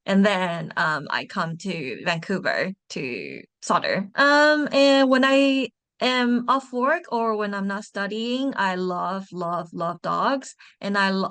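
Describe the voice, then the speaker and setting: A woman's voice: medium pitch, a bit bright, smooth. Upbeat young woman from Taiwan. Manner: chatty, upbeat, with small restarts. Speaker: MBA student ambassador. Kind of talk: panel self-introduction.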